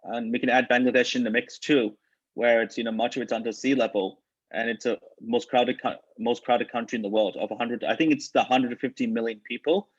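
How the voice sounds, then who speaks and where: Male panel moderator, mid-pitched, formal and wordy, dry but dull webinar sound. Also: fairly even intonation, fairly quick, husky, no room sound. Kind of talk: moderated panel discussion, question and answer.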